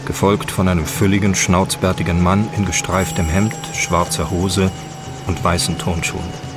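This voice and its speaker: A man's voice: low-pitched, flat delivery, moderate pace, noisy background. A man narrating a scripted radio drama scene in terse, descriptive phrases. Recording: music and effects behind.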